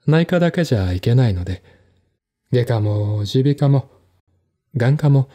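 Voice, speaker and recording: A man playing a composed, articulate man in a one-man audio drama, explaining things in a measured, reflective way. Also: mid-range voice, mellow. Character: composed, articulate man recounting his stepmother's death.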